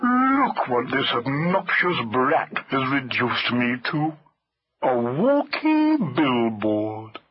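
A man's voice: medium pitch, wildly swooping pitch, takes it slowly, husky, lo-fi sound, heavily acted. A man voicing a melodramatic, put-upon male elephant from a storybook, with a heavy, sighing, mournful delivery. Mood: weary indignation. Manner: melodramatic, self-pitying, haughty wording. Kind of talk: storybook character voice.

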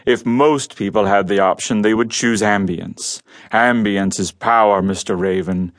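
An actor playing a huge, quietly menacing male crime boss in an audio drama, with a rumbly voice and measured, formal speech. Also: lightly acted. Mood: philosophical, measured.

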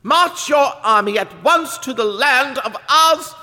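A tantrum-prone gnome monarch in an audio drama, with a very high, piercing voice that swoops wildly. He blusters and whines his commands, vain and imperious, in a long echoing hall. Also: expressive, slow pace, sharp tone, exaggerated voice. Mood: furious, demanding. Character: tantrum-throwing gnome king, vain and petulant. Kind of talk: full-cast audio drama acting. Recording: long reverberant hall echo.

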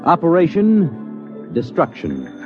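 A man with an American accent announcing and narrating in a dramatic, measured 1950s radio style. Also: on the high side, wildly swooping pitch. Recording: muffled vintage radio recording with music.

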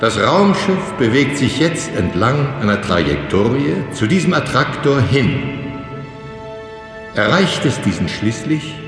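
An actor playing a methodical professor, formally explaining in the didactic style of a sci-fi audio drama lecture. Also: male voice, medium pitch, very animated, unhurried. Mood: methodical, instructive. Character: male professor lecturing trainee pilots, instructive and methodical. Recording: echoey lecture hall, music underneath.

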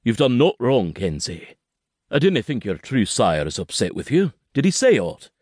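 A man doing a gentle father. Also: medium pitch, wildly swooping pitch, normal speed, dry recording, clean studio sound, pitched above the performer's own voice. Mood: tender. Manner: gentle, reassuring. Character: Highland father, tender and steady.

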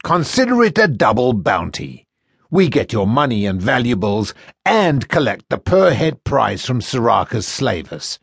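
Brief: cruel sneering sailor villain, read by a man, husky, dry close sound. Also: medium pitch, big pitch swings, dry recording, full character voice. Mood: gloating. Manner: taunting, mocking, drawn-out menace. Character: sneering wolfish male first mate, cruel slaver. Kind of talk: audiobook character voice.

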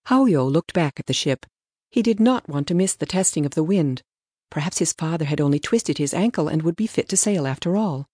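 A woman narrates an audiobook story from a script. Her voice is low for a woman and recorded dry and close, with little room sound. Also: a little mellow, no echo.

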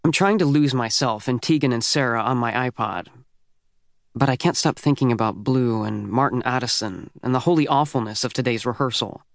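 A man's voice: mid-range voice, a little fast, no echo, clean studio sound. A man with an American accent narrating a first-person teen audiobook in a youthful, wry, conversational way.

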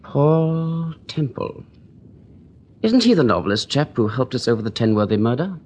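A British police detective in a 1940s radio play. His mid-pitched voice has a husky grain. He is polite and eager, respectful toward his superiors. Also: animated, moderate pace, a little mellow. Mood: thoughtful. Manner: polite, eager, well-spoken.

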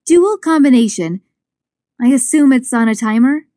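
A woman's voice: sits high, expressive, moderate pace, dark tone, no room sound, studio quality. A woman voicing a bold, playful woman safecracker with a sly, flirty, teasing manner in an audiobook. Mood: businesslike. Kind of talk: audiobook character dialogue.

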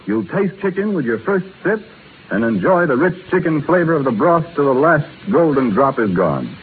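A man's voice: fairly high pitch, big pitch swings, moderate pace, phone-quality sound. Persuasive 1930s radio announcer man. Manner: smooth, persuasive. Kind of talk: read sponsor advertisement. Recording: scratchy 1930s radio broadcast.

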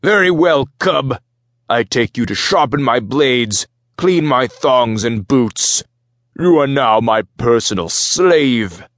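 A man voicing a gruff, bullying minotaur ship captain in an audiobook, barking orders in broken speech. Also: mid-range voice, animated, slow pace, a bit bright, dry recording, studio quality, pitched above the performer's own voice. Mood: commanding, relenting. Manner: barked, commanding broken speech. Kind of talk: audiobook character voice.

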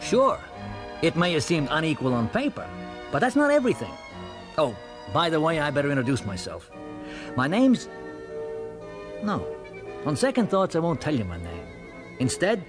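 Animated American serviceman, higher-voiced. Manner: colloquial, confiding. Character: plain-spoken American serviceman, wry war veteran looking back. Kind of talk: audio drama monologue.

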